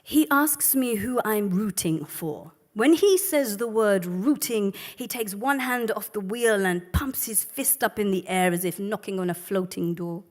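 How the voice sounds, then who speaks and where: A woman doing a sharp-tongued Egyptian woman with an Egyptian accent, dry and mocking as she tells her story. She sits in a middle pitch, unhurried and knowing, a worldly figure read aloud as a monologue. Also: lightly acted. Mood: wry. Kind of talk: dramatic reading of a monologue.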